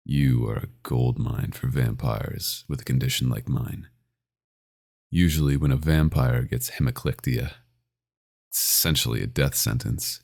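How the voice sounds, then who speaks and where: A man's voice: measured pace, a little mellow, full character voice. A man playing a possessive, domineering vampire doctor in a scripted ASMR roleplay, speaking in an intimate, condescending and menacing way. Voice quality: very deep, gravelly, often breathy.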